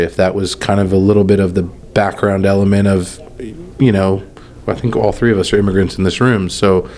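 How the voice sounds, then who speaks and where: A man's voice: lowish voice, darkish tone. A man interviewing a filmmaker, asking long, loosely phrased questions.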